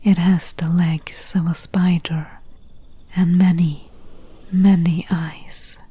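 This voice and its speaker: A woman playing a gentle, solemn friend in an ASMR roleplay, speaking in a breathy, hushed whisper with deliberate pauses. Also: on the low side, level tone, no room sound, exaggerated voice. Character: gentle, solemn friend warning of night spirits.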